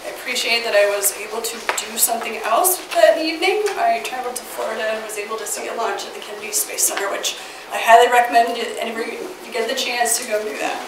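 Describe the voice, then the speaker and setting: A woman with a Midwestern American accent, getting over a cold with a slightly scratchy voice, briefs a city council as planning staff. Her delivery is steady and informative, with occasional fillers. She sits a bit high and away from the mic, and the chamber adds echo and a noticeable noise floor. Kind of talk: staff report presentation. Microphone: far from the mic. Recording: echoey, distant, noisy chamber audio.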